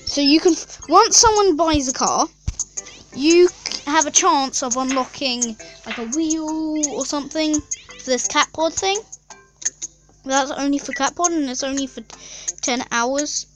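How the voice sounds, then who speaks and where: A woman's voice: high-pitched, a little slow, a bit bright, soft background music. A young female gaming YouTuber chatting casually and rambling through a mobile game, with lots of fillers and giggles.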